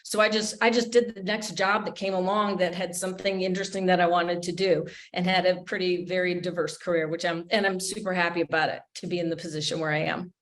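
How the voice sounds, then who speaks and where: A woman's voice: on the low side, flat delivery, fast, hardly any pauses. A middle-aged woman from Michigan, a motorsports lawyer talking through her career on a panel in a rambling, chatty way. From Michigan, USA. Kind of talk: panel interview, recounting career.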